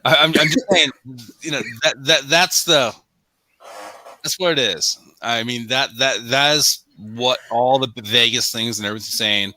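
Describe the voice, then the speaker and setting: A man's voice: medium pitch, a little slow, pushed and bright, no echo, rough recording. A man on a sports show panel chatting casually about football, often repeating himself for emphasis.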